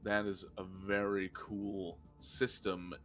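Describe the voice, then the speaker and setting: A man with a somewhat low voice chats eagerly in long stretches. His sound is a little dull, as if through a modest mic. Faint music runs underneath. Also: flat delivery, slow pace, a bit bright. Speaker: player. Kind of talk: casual chat.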